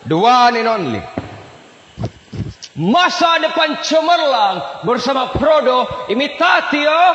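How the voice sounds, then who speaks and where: An actor playing a pompous, scheming fake university rector in a satirical stage monologue, declaiming grandly with drawn-out words and sudden swings. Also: male voice, extremely high pitch, a bit bright, heavily acted. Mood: boastful. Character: pompous scheming fake-degree university rector, self-styled saviour god. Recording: echoey hall, reverberant stage sound.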